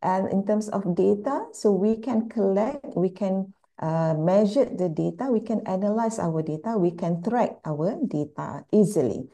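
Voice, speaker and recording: A woman's voice: mid-range voice, measured pace, hardly any pauses, mellow. A woman with a Malaysian accent giving a conference presentation, explaining things step by step with repetition and rhetorical questions. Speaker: university speaker at an online conference. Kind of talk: plenary presentation, lecture.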